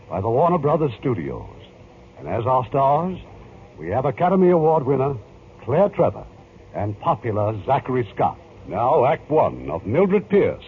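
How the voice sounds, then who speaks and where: A man's voice: medium pitch, slight background noise. A man hosting and producing a 1940s radio drama, delivering formal scripted narration. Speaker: producer and host. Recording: tinny old radio broadcast with music. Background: music.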